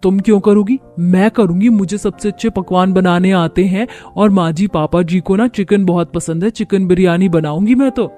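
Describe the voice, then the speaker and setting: A woman voicing an eager, competitive young daughter-in-law in animated story dialogue. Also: mid-range voice, moderate pace, few pauses, soft background music, close to an everyday voice. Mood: bickering.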